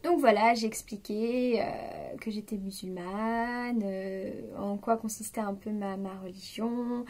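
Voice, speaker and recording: A woman's voice: mid-range voice, steady tone, takes it slowly, hardly any pauses, darkish tone. A woman in her late twenties talking spontaneously to the camera, hesitant and confiding, with many fillers and restarts. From France. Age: 20s. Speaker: vlogger. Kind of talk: spontaneous personal reflection.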